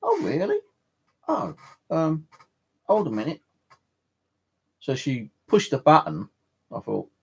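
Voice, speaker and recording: A man's voice: fairly low pitch, gravelly, a little mellow, breathy edge. A British man hosting a livestream from his home, rambling casually and swearing now and then as he chats and tells stories. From United Kingdom. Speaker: livestream host of a ghost box session. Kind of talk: casual chat and storytelling. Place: at home in a flat.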